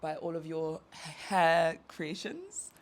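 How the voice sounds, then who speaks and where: A woman hosting a podcast, reading a measured scripted introduction and then interviewing a guest in a studio. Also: sits low, very animated, a little hiss or hum. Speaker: podcast host. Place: artist's studio. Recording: music playing behind the voice.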